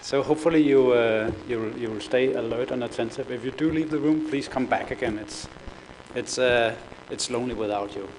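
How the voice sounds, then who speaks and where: A man's voice: mid-range voice, fairly quick, a little mellow, slight background noise, poor audio. A middle-aged man from Denmark with a Danish accent, giving a conference presentation with frequent fillers and restarts. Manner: hesitant, many fillers and repeated words. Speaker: conference speaker. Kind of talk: business presentation. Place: conference room.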